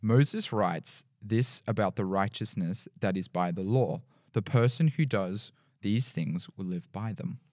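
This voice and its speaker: A young man with a deep baritone voice reading scripture aloud in a small podcast studio, with small slips as he reads. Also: a little slow, darkish tone, no room sound. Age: young adult. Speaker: podcast guest. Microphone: studio mic on boom arm with headphones.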